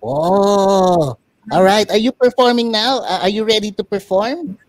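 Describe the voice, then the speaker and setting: A mid-pitched host runs a live online interview over a video call. The delivery is hesitant between phrases, with announcer-style introductions for the guests. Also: unhurried, dry recording. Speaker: puppet show host.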